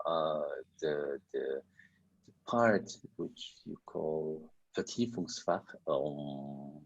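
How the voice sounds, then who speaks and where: Deep slow halting lecturer. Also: male voice, breathy edge, muffled recording. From Germany. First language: German. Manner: halting, searching for words, many fillers. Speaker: university lecturer. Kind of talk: online university lecture.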